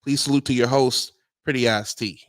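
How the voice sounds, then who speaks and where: A man co-hosting a casual livestream, relaxed and repetitive, hyping up his co-host. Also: medium pitch, moderate pace, background noise. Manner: relaxed, repeats himself, warm hype-man talk.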